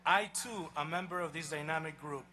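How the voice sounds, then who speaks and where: A man with a Honduran Spanish accent speaks to a stadium of graduates with measured, emphatic delivery. His voice sits a little high and rings very bright. He is a college president giving a commencement address outdoors. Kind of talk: commencement speech.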